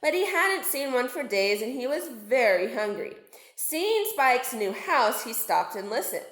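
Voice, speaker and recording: A woman narrates a children's storybook at an unhurried pace. Her fairly high voice rises and falls expressively. The room around her is echoey. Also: very animated, a bit bright. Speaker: storybook reader, teacher. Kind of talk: children's read-aloud narration.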